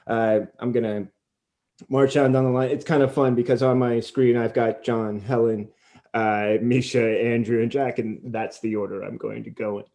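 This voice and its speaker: A man hosting a conversational interview on a video call, speaking in wordy, deliberate, self-deprecating phrases. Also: medium pitch, little pitch movement, warm tone. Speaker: podcast host and interviewer.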